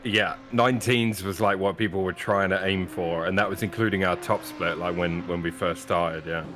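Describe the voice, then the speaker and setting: A man commentating a live sim race with relaxed, self-deprecating banter. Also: low-pitched, normal speed, background noise.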